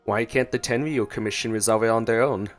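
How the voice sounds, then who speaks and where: A man's voice: on the low side, steady tone, a little fast, faint music behind. Playful man doing casual game commentary. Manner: playful, reads along and talks back to characters. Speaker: gaming streamer. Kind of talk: casual reaction commentary over gameplay.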